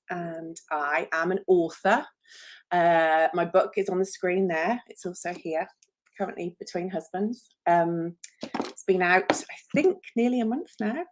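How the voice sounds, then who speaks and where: A woman in her forties with an English accent, an author chatting casually on a solo livestream, self-deprecating and jokey, with frequent ums. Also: mid-range voice. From England. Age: 40s.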